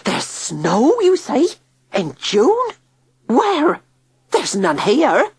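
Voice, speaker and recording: A woman's voice: high voice, huge pitch swings, extremely slow, a little mellow, breathy edge, no room sound, clean studio sound, pitched above the performer's own voice, exaggerated voice. A shrieking, scolding old woman. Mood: shrill scolding. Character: shrill, screeching old woman keeper.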